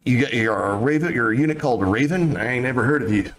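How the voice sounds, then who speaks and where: A man's voice: medium pitch, quick pace, noisy background, natural voice. A man voicing an older mercenary commander who talks in a blunt, folksy way. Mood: gruff.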